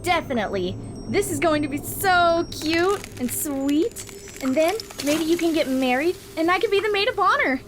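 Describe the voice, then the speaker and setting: A woman acts out a cheerful young girl robot for a comic dub. The voice is high and girlish, swings widely in pitch, and gushes romantically. There is faint ambient noise behind it. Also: lively intonation, normal speed. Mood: gushing. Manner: gushing, girlish.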